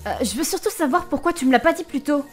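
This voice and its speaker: A spirited young woman adventurer, voiced by an actress in a fantasy audio drama. Her voice is on the high side, and she talks in a chatty, informal, expressive way, with faint music behind her. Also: fast, lightly acted. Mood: puzzled, wary.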